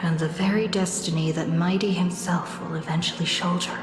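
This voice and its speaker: A woman's voice: on the low side, steady tone, moderate pace, natural voice. A woman acting a pensive, questioning young adventurer in a video game, musing half to herself. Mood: puzzled, pensive. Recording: long reverb, music behind.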